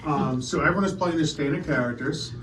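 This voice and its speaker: A man running a live tabletop game in a casual, halting way, often breaking into a laugh. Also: fairly high pitch. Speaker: game master. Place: indoor room at a holiday gathering. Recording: roomy, distant mic, loud background noise.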